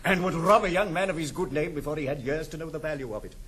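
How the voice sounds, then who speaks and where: An actor playing a bluff, jovial old British uncle in a period stage comedy, chuckling and exclaiming in a hearty manner. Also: male voice, fairly high pitch, fast talker, fairly bright tone, natural voice. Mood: indignant. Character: bluff old uncle back from the East Indies, jovial, blunt. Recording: noisy 1960s studio album.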